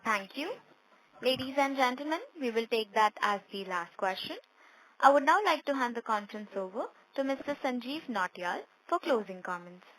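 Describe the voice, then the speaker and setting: Woman, conference moderator, formal, scripted, fairly high pitch, slight line noise. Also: expressive, moderate pace, fairly bright tone. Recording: faintly noisy conference line.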